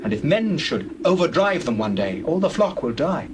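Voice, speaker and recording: An earnest, deferential biblical patriarch as a man acts him out pleads in formal, archaic scripture style. His pitch is a little high and moves widely, over a noisy bed of birds and doves. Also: sing-song, moderate pace. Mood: humble pleading. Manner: formal, entreating. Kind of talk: dramatized scripture reading.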